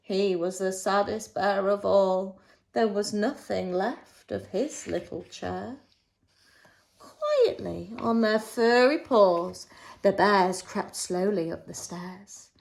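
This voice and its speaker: A woman reads a storybook for little children in a slow, swooping voice. She leans on key words and leaves dramatic pauses, like an infant school teacher. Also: medium pitch, lively intonation, vocal fry. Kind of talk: expressive children's storybook reading.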